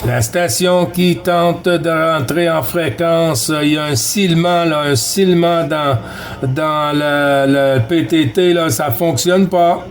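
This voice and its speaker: A man with a Quebec French accent chatting casually as a CB radio operator, halting and hesitant, heard through a radio transmission. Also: on the high side. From Quebec, Canada. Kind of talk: casual radio contact chat. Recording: noisy CB radio reception, loud static.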